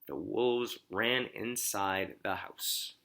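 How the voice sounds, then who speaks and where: A man reading a children's storybook aloud like a teacher, expressively, pausing to explain and ask questions. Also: lowish voice, vocal fry, bright, forceful tone.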